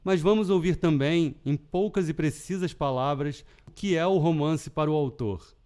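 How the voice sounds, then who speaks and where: A man with a Carioca accent that leans toward European Portuguese, host of a literary podcast, giving measured scripted narration. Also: a little high, mellow, smooth. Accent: Rio de Janeiro Portuguese with European Portuguese touches. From Brazil. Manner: measured, deliberate reading.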